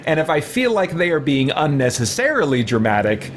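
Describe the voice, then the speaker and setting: A man gives casual commentary as a gaming host, rambling and reflective. His slightly high voice moves around in pitch a lot. Some background noise is present. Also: big pitch swings, brisk. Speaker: gaming video host, roleplayer. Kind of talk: casual chatty game commentary.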